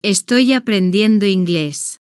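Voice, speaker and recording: Smooth, slow woman narrating for learners. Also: mid-range voice, lively intonation, no echo, studio quality. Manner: slow, deliberate, evenly paced short sentences. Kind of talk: slow scripted first-person story narration for beginners.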